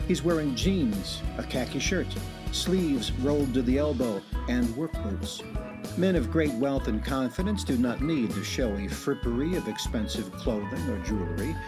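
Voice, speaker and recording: A male narrator tells the story in a measured, gently wry way, his pitch rising and falling with plenty of color. Music plays clearly under his voice, like the opening of an old-style radio drama. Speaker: narrator and show host.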